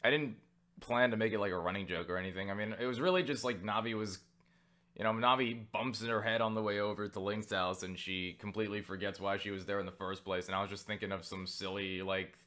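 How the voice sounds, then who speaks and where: Lowish-voiced man rambling through viewer questions. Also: flat delivery, fast, sharp tone. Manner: rambling, joking and profane; self-deprecating. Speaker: YouTuber answering viewer questions. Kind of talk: casual Q&A vlog.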